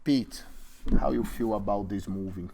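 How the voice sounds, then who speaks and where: A man with a Brazilian accent, a native Portuguese speaker, co-hosting a casual podcast chat in an animated, teasing way and often repeating his phrases. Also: lowish voice, a little slow, gravelly. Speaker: co-host of a martial arts podcast.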